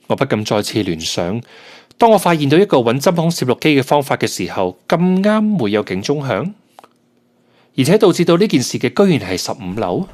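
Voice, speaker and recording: A man's voice: mid-range voice, slight background noise, close to an everyday voice. A man playing a suspicious tenant who narrates his own investigation in a Cantonese radio drama, with a Hong Kong accent. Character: suspicious male tenant nervously investigating a hidden camera.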